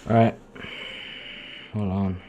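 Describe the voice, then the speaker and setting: Low-voiced man, sweary and halting. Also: flat delivery, dark tone, muffled recording. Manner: muttering, sweary, halting delivery. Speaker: live streamer. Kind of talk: casual off-the-cuff stream chat.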